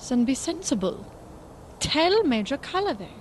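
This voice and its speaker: Radio drama: woman acting sorrowful postwar Czech woman, guarded, slightly high voice. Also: big pitch swings, normal speed, a little hiss or hum. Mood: resigned, urging.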